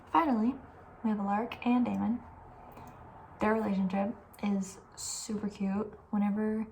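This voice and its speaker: A woman speaking softly with breathy, near-whispered edges, chatting casually and rambling with playful opinions as an ASMR host. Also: medium pitch. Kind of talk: soft-spoken ASMR casual chat.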